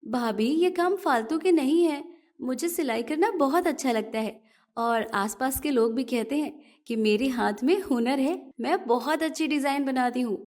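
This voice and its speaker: A woman's voice: high voice, normal speed, darkish tone, natural voice. A woman playing an earnest, polite young seamstress in a narrated moral story. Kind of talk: dramatized moral story acting.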